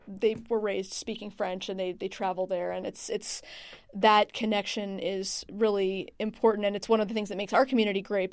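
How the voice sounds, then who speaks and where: A woman's voice: mid-range voice, no echo, clean studio sound. A woman answering as an interview guest in a casual, chatty, rambling way, with plenty of "like" and "you know". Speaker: interview guest, wine region marketing manager.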